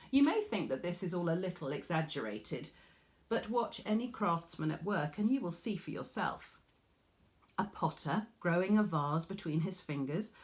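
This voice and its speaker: A woman reading a storybook aloud like a teacher, expressive, with dramatic pauses. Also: fairly low pitch.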